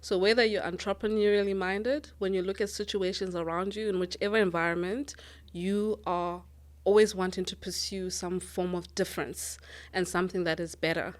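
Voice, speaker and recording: A woman's voice: mid-range voice, steady tone, moderate pace. Earnest woman from Lesotho. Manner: earnest, thoughtful, with frequent ums and restarts. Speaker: guest, managing director. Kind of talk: interview answers.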